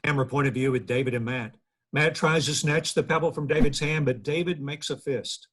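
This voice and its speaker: Husky man reading stage directions. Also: on the high side, fairly quick, low-quality recording. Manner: plain, steady read of scene directions.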